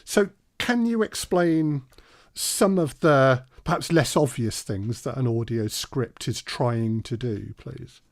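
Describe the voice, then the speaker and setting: A man co-presenting a workshop, steering it in a conversational but orderly way with measured, polite phrasing. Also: medium pitch, vocal fry, dry recording, clean studio sound. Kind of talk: structured workshop hosting in conversational tone.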